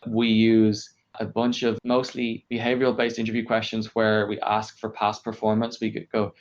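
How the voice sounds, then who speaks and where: A man explaining his work in a conversational interview, measured and explanatory, as a podcast guest. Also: on the low side, completely flat delivery. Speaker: podcast guest, engineering director. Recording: dull, lo-fi podcast audio.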